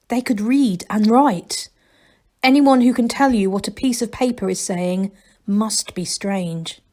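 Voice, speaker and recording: A woman reading a novel aloud as a storyteller, in expressive scripted narration. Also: medium pitch.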